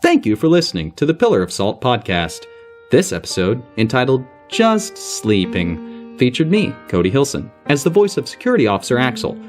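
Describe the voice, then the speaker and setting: A man reading the scripted closing credits of an audio drama podcast as one of its voice actors. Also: mid-range voice.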